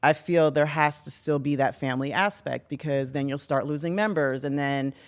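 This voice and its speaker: A woman's voice: low voice, moderate pace. A woman guest on a podcast, talking casually and acting out what other people say. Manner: animated, acts out other people's words. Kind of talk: casual chat.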